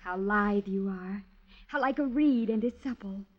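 An actress playing a coy, flirtatious widow in a theatrical 1950s radio drama style. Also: female voice, mid-range voice, measured pace, natural voice. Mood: coy, admiring. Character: coy, flirtatious widow, breathlessly admiring, faintly menacing. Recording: muffled vintage radio recording.

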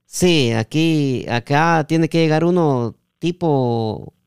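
A man's voice: a little high, slow, vocal fry, smooth, no echo. A Guatemalan man hosts a conversational interview in a clean, resonant voice. He feels his way through questions with repeated words. The sound is close and dry, with little room around it. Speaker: podcast host interviewing a guest. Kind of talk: casual interview.

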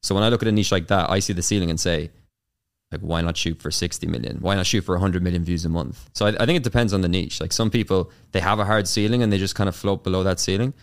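Fast-talking Irish young man, deep voice. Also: creaky, smooth. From Ireland. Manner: fast, filler-heavy with many restarts. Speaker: YouTube consultant, guest. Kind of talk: casual interview answers.

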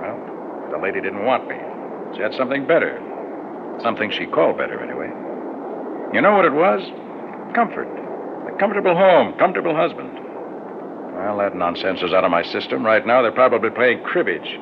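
A noisy, dulled old radio recording of a proud, worldly American man. His low voice swings widely as he speaks with sardonic, theatrical scorn and restless pride. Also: very animated, brisk, creaky, long pauses, narrow, tinny audio. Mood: bitter, mocking. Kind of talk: mid-century American radio drama acting.

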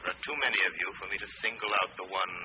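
An actor playing a mysterious unseen avenger who makes formal, commanding, ominous pronouncements in a 1940s radio drama. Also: male voice, low voice, very fast, close to an everyday voice. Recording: tinny, noisy vintage radio recording.

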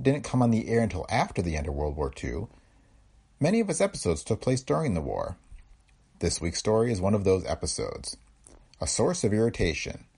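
A man with a gravelly voice hosting a podcast with scripted narration. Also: sits low, a little mellow. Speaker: podcast host.

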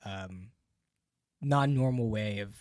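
Man, casual chat, animated scene recaps with laughter, dry close sound. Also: on the low side, slow pace, no echo. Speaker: film discussion co-host. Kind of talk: casual film discussion.

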